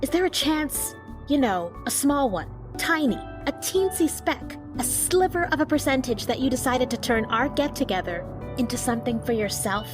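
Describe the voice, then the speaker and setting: Woman acting a brash, teasing, higher-voiced young friend. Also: animated, normal speed, music in the background. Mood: demanding, accusing. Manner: slangy, blunt, playfully dramatic. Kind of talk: audio drama acting.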